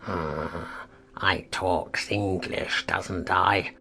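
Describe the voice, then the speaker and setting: A man voicing a very old, grumbling frog in a storybook, with a deep, hoarse, croaky voice and a slow, muttering rustic drawl. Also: steady tone, bright, forceful tone, full character voice. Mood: grumbling, sluggish. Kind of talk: dramatized audiobook character acting.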